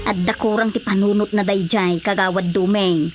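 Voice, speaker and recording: A woman's voice: medium pitch, fairly even intonation, moderate pace, over loud music, lightly acted. A woman acting a sympathetic village neighbour, full of exclamations and questions, in a radio comedy drama.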